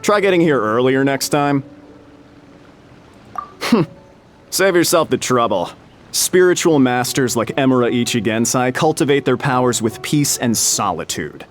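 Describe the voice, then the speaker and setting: An actor voicing a condescending clinic attendant in a video game, colloquial and dismissive. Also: male voice, medium pitch, expressive, measured pace, soft background music, lightly acted. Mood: smug, dismissive. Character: dismissive, smug male clinic attendant turning people away.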